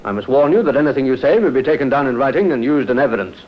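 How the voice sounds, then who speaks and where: An actor playing a dutiful, matter-of-fact police sergeant, clipped and respectful, in old radio drama style. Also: male voice, mid-range voice, animated, racing, heavy background noise, natural voice. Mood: official. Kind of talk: 1950s radio drama acting. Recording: echoey, muffled old radio recording.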